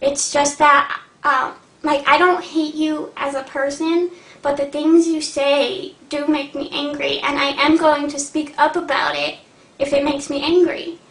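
Young woman vlogger, high voice, halting and earnest, echoey room. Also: flat delivery, fairly bright tone. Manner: hesitant, many ums, earnest. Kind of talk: casual talking-to-camera update.

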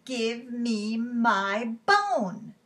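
A woman voicing a mysterious tiny closet creature in a children's story, calling out demands in a slow, drawn-out way. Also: a little high, sing-song, a bit bright, a little hiss or hum, full character voice. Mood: demanding, spooky.

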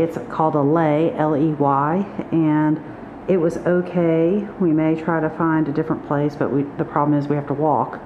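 A middle-aged woman with a lowish voice talks casually through a haul for her vlog. She is plain-spoken and itemizes as she goes. The sound is roomy and echoey, with clear background noise. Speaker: vlogger. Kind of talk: casual grocery haul narration. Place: hard-surfaced kitchen indoors. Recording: echoey, muffled, noisy room recording.

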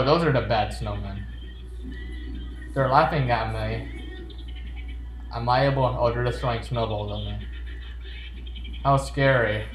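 A man riffs playfully through a let's play, reading on-screen text aloud and cracking jokes. His unhurried voice sits in a roomy, echoing space, with faint game music running underneath. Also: mid-range voice. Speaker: gamer.

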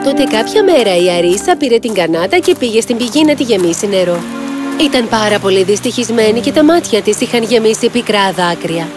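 A woman narrating a scripted children's fairy tale as an expressive storyteller. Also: fairly high pitch, music in the background.

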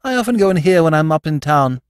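A man's voice: on the high side, animated, quick pace, smooth, dry recording, clean studio sound, voice pushed up, close to an everyday voice. A man voicing a silly young lord with an airy, chatty, foppish manner in a storybook. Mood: breezy. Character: silly breezy young English lord, idle man-about-town.

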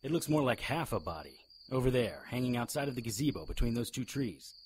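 An actor playing a hard-boiled, sardonic hero in a radio drama, with a wry, grimly observant manner. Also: male voice, medium pitch, animated, a little fast, slight background noise, close to an everyday voice. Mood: grim, practical.

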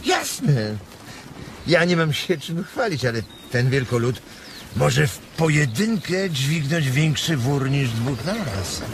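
Bossy, wary migrant worker, lively intonation, noisy ambience, radio drama. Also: male voice, fairly high pitch, slow pace, exaggerated voice. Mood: guarded. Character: sharp, irritable, protective male migrant worker. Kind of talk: Polish radio drama acting. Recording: noisy, birdsong ambience behind.

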